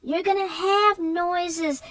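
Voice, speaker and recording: A woman with a very high-pitched voice, speaking as a co-host. She gives casual, conversational commentary on a children's book. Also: steady tone, slow, a crowd in the background.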